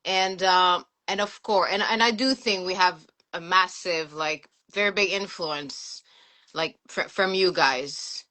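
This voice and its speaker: A woman in her forties with a French accent, chatting casually as an interview guest, relaxed and full of fillers. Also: lowish voice, slow. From France. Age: 40s. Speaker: guest, sneaker collector and teacher.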